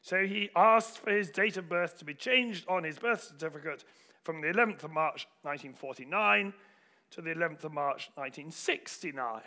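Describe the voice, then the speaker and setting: High-pitched, bright-voiced man preaching. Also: moderate pace. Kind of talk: sermon with illustrative anecdotes.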